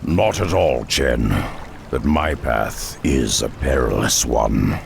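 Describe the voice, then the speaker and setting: A man voicing a gruff, stoic, huge half-orc warrior in a video game, deep and gravelly. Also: takes it slowly, a little hiss or hum, heavily acted. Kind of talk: video game character acting.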